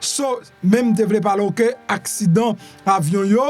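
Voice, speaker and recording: A man's voice: sits high, a little slow, faint music behind. A man with a Haitian accent giving informal news commentary, conversational, repeating phrases and putting questions to the listener. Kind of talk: informal news commentary in Creole with some French and English.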